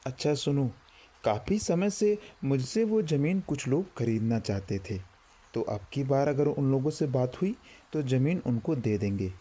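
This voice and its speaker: A man's voice: medium pitch, lively intonation, moderate pace, dark tone, breathy edge. A man playing a kindly, caring village schoolmaster near retirement in a cartoon story. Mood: gentle, reassuring. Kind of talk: Hindi cartoon story dialogue.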